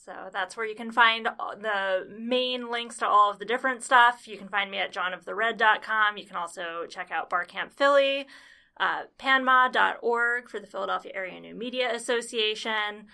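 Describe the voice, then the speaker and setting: Woman, podcast guest, bright tense voice, some vocal fry, earnest. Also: medium pitch, moderate pace. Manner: earnest, precise about details. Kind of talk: casual podcast chat.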